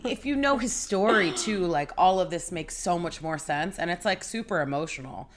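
A woman in her forties co-hosting a podcast, relaxed, soft-spoken and wry. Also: on the low side, moderate pace, a little hiss or hum. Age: 40s. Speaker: podcast co-host. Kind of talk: casual podcast chat.

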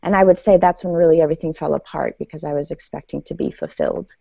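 Female author guest, thirties, native Farsi, very low mellow voice, recounting her life story. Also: lively intonation, fast, creaky, dry recording. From Iran, then the United States. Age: 30s. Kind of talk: personal storytelling in an interview. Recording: dull lo-fi audio.

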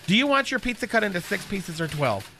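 Brief: high, bright, catty receptionist guy, a man putting him on, camp lilt, radio sketch. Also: very animated, fast, a little hiss or hum, heavily acted. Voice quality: lilting, swooping camp delivery. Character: flamboyant, catty, gossipy male receptionist. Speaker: show's receptionist character.

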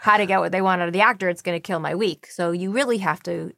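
A woman's voice: fairly low pitch, quick pace, gravelly, a bit bright, no echo, clean studio sound. A woman voice actor, a guest in a casual interview, talking enthusiastically and swearing now and then.

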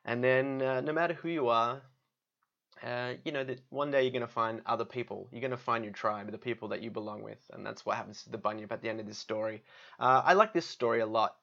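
A man's voice: mid-range voice, fast, gravelly, fairly bright tone. Australian man reading, slightly dull lo-fi recording. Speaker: guest storybook reader. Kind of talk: storybook narration with casual asides.

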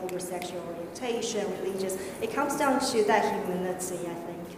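A woman with a non-native English accent talks slowly and quietly in a measured, analytical way on a discussion panel. She is heard from a distance in a large, very echoey hall with a long reverb. Steady background noise sits under her voice. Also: medium pitch. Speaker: panel speaker.

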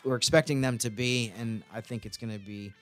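A man co-hosting a sports podcast, talking through analysis in a casual, wordy, measured way. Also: medium pitch, steady tone, a bit bright, faint music behind.

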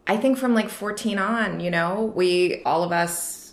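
A woman's voice: medium pitch, a little slow. A woman author from Connecticut, interviewed at her home, talking in a rambling, hesitant way with self-deprecating asides. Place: her apartment, indoors. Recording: roomy echoey apartment.

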